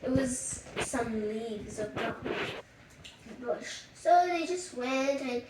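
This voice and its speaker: Slow, high-pitched storyteller in an echoey room. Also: animated. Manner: halting, repeats words, childlike phrasing. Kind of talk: improvised bedtime storytelling with acted lines. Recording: echoey room, distant mic, faint noise, clicks.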